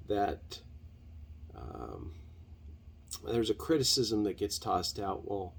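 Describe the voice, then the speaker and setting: Guy doing a solo podcast, kinda husky creaky voice, halting and earnest. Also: lowish voice, darkish tone. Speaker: podcast host. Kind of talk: unscripted solo podcast monologue.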